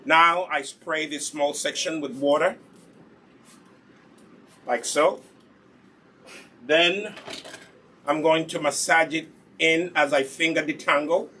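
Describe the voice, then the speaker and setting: A man's voice: on the high side, fairly even intonation, slow, long pauses, sharp tone, slight background noise. A man from Uganda with a Ugandan accent, hosting a casual live hair care demonstration in an instructive way, repeating key points. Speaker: host, natural hair product seller and demonstrator.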